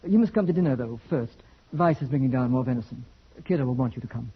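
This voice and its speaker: A man's voice: mid-range voice, lively intonation, quick pace, breathy edge. An actor playing a determined hunter, protective of his wife, with urgent, clipped delivery in old-time radio drama style. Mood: grim, determined. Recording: tinny, band-limited old radio recording.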